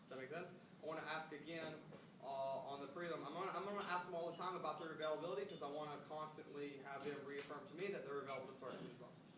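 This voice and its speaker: Fast, high-pitched man in a noisy room. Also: fairly even intonation. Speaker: recruiting trainer making phone screening calls. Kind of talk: casual sales-style phone script and coaching. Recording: roomy, distant mic, noisy background.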